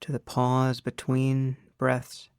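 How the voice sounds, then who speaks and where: Creaky-voiced man guiding a meditation. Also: medium pitch, completely flat delivery, no room sound, studio quality. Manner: unhurried, measured, with long pauses. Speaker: meditation teacher. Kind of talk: guided meditation instruction.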